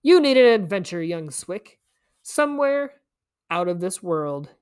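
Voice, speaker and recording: A woman's voice: fairly low pitch, very animated, unhurried, a little mellow, smooth. A woman voicing a scrawny, grinning male stranger in a storybook, teasing and coaxing in a theatrical way. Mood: coaxing, breezy. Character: scrawny, grinning, wheedling stranger man, fast-talking trickster.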